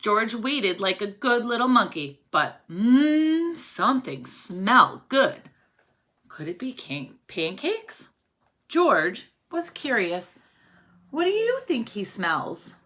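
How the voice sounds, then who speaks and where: A woman's voice: on the high side, very animated, slow, long pauses, a bit bright. A woman reading a story aloud to young children, expressively, often prompting them with questions and counting along. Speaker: teacher reading a picture book aloud to children.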